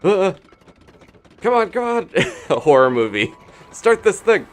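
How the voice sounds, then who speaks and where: A man's voice: high-pitched, very animated, unhurried. A man giving casual live gameplay commentary, playfully exclaiming and talking to himself as he plays. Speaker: video game streamer and host.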